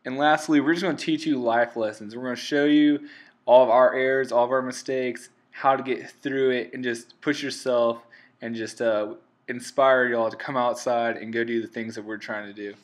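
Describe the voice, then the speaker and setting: Young man, 20s, steady flat intonation, earnest co-host speaking to viewers. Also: medium pitch, normal speed. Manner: earnest, upbeat, addresses viewers as guys. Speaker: channel co-host. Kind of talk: casual voice-over narration.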